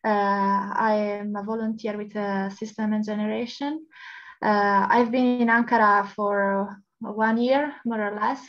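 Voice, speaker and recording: A woman's voice: mid-range voice, steady tone, a little slow. A woman from Italy introducing herself as a volunteer on a video call, hesitant and searching for words. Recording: dull lo-fi call audio.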